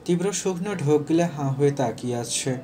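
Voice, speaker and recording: A man's voice: a little high, animated, a little fast, warm tone. Male narrator of romantic stories over music. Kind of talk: scripted romantic story narration.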